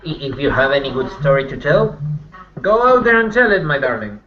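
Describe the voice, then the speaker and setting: A man's voice: mid-range voice, very animated, brisk, highs cut off, a crowd in the background, close to an everyday voice. A man playing a vain, skeptical werewolf packmate with a wry, teasing manner.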